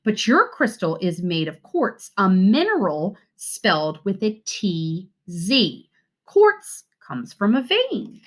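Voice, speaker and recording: A kindly storybook father, voiced by a woman reading aloud, explains patiently and instructively. The delivery is slow and careful, with lively pitch on the key words. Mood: patient, explaining.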